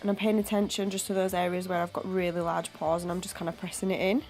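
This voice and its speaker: A woman's voice: fairly low pitch, flat delivery, quick pace, a little mellow, soft background music. A young woman with a cold, chesty voice, casually narrating a makeup tutorial voiceover. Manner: casual, chatty, step-by-step explaining. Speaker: beauty vlogger. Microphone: home voiceover mic.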